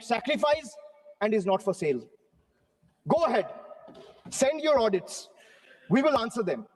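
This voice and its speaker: A man's voice: high-pitched, in a large hall, poor audio. A man from Bengal giving a rhetorical speech in parliament, declaiming in parallel phrases. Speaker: member of parliament. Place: large parliament chamber.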